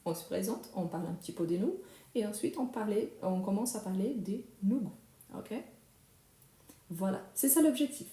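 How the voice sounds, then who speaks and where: Brazilian woman teaching French, slow and patient. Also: mid-range voice, lively intonation, mellow, echoey room. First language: Brazilian Portuguese. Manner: slow, repeating phrases for learners. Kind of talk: live-streamed French lesson.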